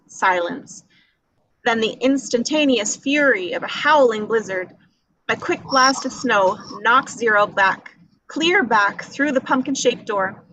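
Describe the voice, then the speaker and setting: A woman's voice: fairly high pitch, fairly bright tone, a little hiss or hum, poor audio. A woman reading screenplay action descriptions at a table read in a dramatic storytelling manner. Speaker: reader of stage directions. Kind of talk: scripted narration at a table read.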